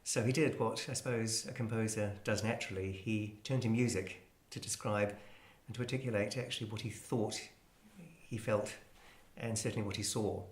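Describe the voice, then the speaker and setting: Male presenter, low voice, scholarly and measured, explaining classical music in an echoing room. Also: fairly even intonation. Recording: roomy, echoing space.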